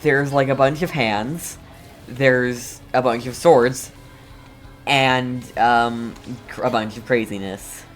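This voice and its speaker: A player giving casual, halting commentary on a video game while playing, reacting in short murmured asides. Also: medium pitch, fairly even intonation. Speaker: game streamer. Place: voice-over on game footage, game music behind.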